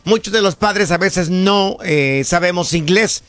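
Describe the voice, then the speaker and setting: A man hosting a radio talk show in a lively way, speaking straight to the listeners and asking them to call in. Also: high-pitched, unhurried, no room sound. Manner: lively, addresses listeners directly. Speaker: radio host.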